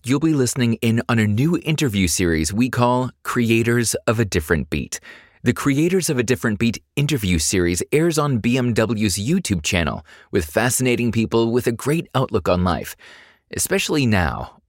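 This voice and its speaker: A man's voice: medium pitch, no echo, studio quality. A man hosting a podcast, reading an upbeat intro and then asking interview questions. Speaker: podcast host.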